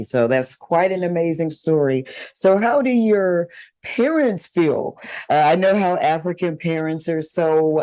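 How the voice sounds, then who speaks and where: A woman's voice: on the low side, mellow, no room sound. A woman hosting a TV show, asking friendly, upbeat interview questions with some fillers. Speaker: TV show host.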